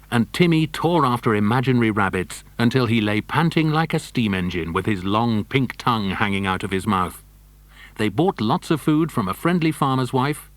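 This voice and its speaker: A man's voice: fairly low pitch, no room sound, natural voice. A man reading scripted narration for a children's adventure story.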